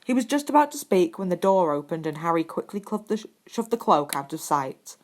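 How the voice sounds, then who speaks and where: A woman's voice: on the low side, big pitch swings, fairly quick. A woman reading scripted fiction aloud as the narrator of an audio story. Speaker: podfic narrator.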